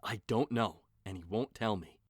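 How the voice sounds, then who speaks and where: An actor playing a worried nobleman father in an audio drama, grave and measured as he speaks of his son. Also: lowish voice, slightly rough, dry recording, studio quality.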